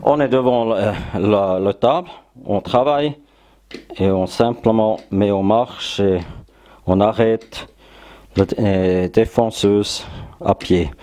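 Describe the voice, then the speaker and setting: Man, hobby channel host, slow hesitant delivery, low mellow voice. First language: German. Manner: hesitant, searching for French words. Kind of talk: casual workshop demonstration.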